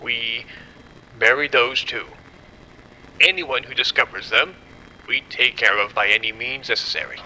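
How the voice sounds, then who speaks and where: A man acting as a cold, authoritative scientist in a horror audio drama. His gravelly, very forceful voice is grave and deliberate, with a faint low rumble behind it. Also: rough recording. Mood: grim resolve. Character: cold, commanding male doctor covering up a disaster. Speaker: lead scientist.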